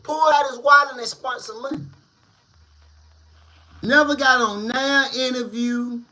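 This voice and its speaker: High-voiced slow-talking man commentator. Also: steady tone, pushed and bright, poor audio. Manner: slangy, drawn-out, emphatic. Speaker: commentary channel host. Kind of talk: casual street-news commentary.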